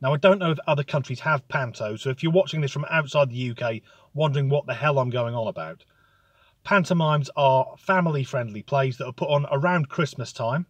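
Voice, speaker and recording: A man delivering a sardonic, sweary comic rant in a deadpan tone. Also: medium pitch, brisk, no room sound. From United Kingdom. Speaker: comedic ranter.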